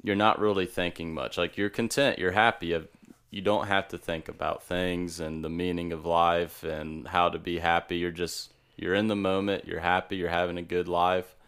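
Young male podcast co-host, low smooth voice, musing and rambling. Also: little pitch movement, normal speed, fairly bright tone. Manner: thoughtful, rambling explanations. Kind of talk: casual chat.

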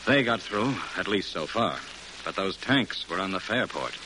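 A man's voice: low-pitched, lively intonation, moderate pace. A man playing a grave military officer in a classic radio drama, giving a briefing in a clipped, emphatic, solemn way. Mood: urgent, firm. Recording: faintly noisy old radio recording.

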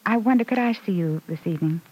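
A woman's voice: medium pitch, animated, fast talker, darkish tone, breathy edge, poor audio. A woman playing a pert, scheming young working woman in an old-time radio soap opera, coy and insinuating. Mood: pleading. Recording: vintage radio recording.

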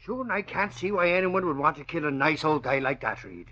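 Blustery, high-voiced Irish reporter. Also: male voice, lively intonation, fairly quick, bright, forceful tone. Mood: puzzled, earnest. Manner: blustery, folksy. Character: excitable, boastful male newspaper reporter. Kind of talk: 1940s radio acting. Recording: dull vintage radio recording.

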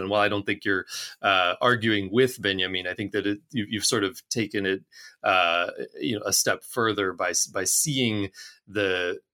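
A man's voice: lowish voice, bright, forceful tone. A man hosting an academic podcast interview, asking questions with frequent fillers and restarts. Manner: hesitant, many fillers and restarts. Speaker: podcast host.

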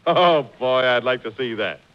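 A male announcer making a 1940s radio sales pitch. His mid-pitched voice rises and falls with lively emphasis. The broadcast sounds old and muffled. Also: normal speed.

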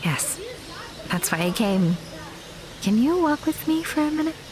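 A woman playing a hesitant, soft-spoken young woman in an audio drama. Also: high-pitched, sing-song, a little slow, crowd noise.

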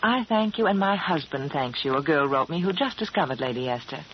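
Expressive 1940s radio ad woman, muffled recording. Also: deep voice, a little fast, slight background noise. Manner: polished, persuasive, emphatic. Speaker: beauty advertiser. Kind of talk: scripted 1940s radio advertisement.

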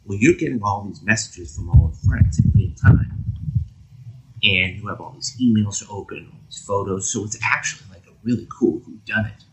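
A man with a fairly low voice chats casually as a podcast co-host. He feels his way through his points, often rephrasing mid-thought. The recording has clear noise and some clicking behind him. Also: moderate pace. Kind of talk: casual discussion. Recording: clearly noisy background with clicks.

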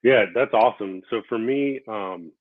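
A man hosting a casual interview show, talking in a relaxed, rambling way with many fillers; Korean was his first language. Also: fairly low pitch, normal speed. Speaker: podcast host. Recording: tinny phone-like line.

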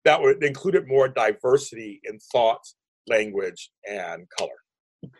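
Brief: man, 50s, deep and husky, rapid emphatic interview guest, dry close sound. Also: lively intonation, no room sound, poor audio. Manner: rambling, restarts sentences, emphatic. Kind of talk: animated conversational interview.

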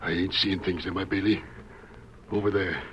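An actor playing a paranoid western outlaw in a radio drama, agitated and raving with fear in a gravelly Western drawl. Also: male voice, low voice, fairly even intonation, moderate pace, lightly acted. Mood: feverish dread. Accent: American Western drawl. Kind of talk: old radio western acting. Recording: dull, noisy old radio recording.

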